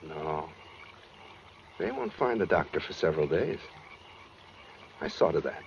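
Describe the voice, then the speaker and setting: A menacing madman, animated low-to-high delivery. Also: unhurried, creaky, full character voice. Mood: quietly menacing. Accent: American. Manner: matter-of-fact, brooding, chillingly calm. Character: brooding escaped asylum killer, coldly calm, menacing man. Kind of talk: 1940s radio suspense acting. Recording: dull 1940s radio recording with noise.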